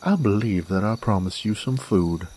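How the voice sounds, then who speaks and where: A man playing a cocky, gruff werewolf bounty hunter in an Old West audio drama, with a folksy, taunting American Western drawl. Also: lowish voice, moderate pace, a little mellow, slight background noise, lightly acted. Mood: irritated, gruff. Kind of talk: roleplay audio drama monologue, addressed to a captive.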